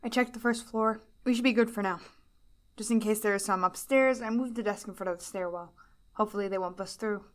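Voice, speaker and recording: A woman playing a brisk, practical survivor. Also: mid-range voice, natural voice. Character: resourceful young female survivor.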